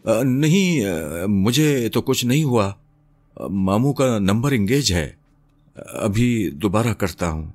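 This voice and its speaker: A man's voice: low voice, very animated, no echo. A man voicing a timid, polite husband in an audio story, with a hesitant, nervous manner. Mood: flustered. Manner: hesitant, stammering, deferential.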